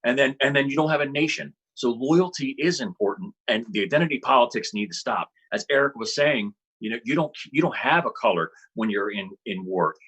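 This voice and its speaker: Husky man making earnest points. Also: mid-range voice, brisk, few pauses, fairly bright tone, rough recording. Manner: earnest, argumentative. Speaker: panelist. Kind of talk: panel discussion.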